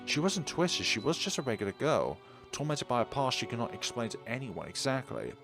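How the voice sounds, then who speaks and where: A man's voice: medium pitch, very animated, a little fast, vocal fry, over music. A man reading a horror visual novel aloud in a dramatic narrating style, as a let's player.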